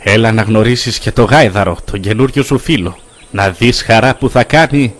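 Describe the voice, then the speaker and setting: An actor playing a curious, friendly young monk in an animated film. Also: male voice, lowish voice, lightly acted.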